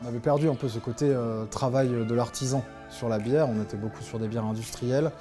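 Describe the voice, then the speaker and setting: A man's voice: mid-range voice, fairly even intonation, a little fast, music in the background. A man in his thirties explaining his craft in an interview to camera, as a brewery co-manager. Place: indoors in a brewery tasting room.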